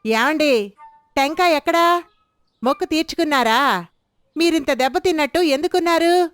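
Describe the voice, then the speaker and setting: A woman's voice: high voice, moderate pace, a bit bright, no echo, faint music behind, pitched above the performer's own voice. A woman voicing a worried village wife who scolds and questions her husband. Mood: scolding.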